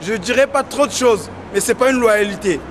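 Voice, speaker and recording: A man's voice: high voice, normal speed. A man answering a street interviewer, urging and repeating himself with fervour, now and then dropping into English. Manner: exhorting, repetitive, mixes in English. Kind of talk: impassioned street vox pop. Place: busy city street outdoors. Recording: loud street noise.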